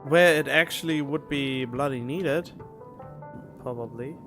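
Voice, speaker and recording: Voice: mid-range voice, unhurried, fairly bright tone, soft background music. A game streamer giving casual, incredulous livestream commentary, grumbling and swearing.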